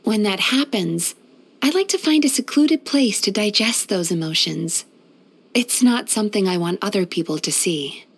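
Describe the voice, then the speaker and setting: A woman playing a gentle, composed yokai therapist in a video game, soft-spoken and measured. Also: medium pitch, animated, husky. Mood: composed, earnest. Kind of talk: video game character voice lines.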